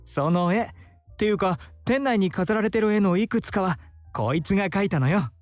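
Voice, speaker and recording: Lilting, teasing, effeminate bartender. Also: male voice, high voice, animated, fast, dry recording, soft background music. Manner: feminine phrasing, teasing, breezy. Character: teasing, effeminate, sociable male bar worker. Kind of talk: Japanese visual novel voice acting.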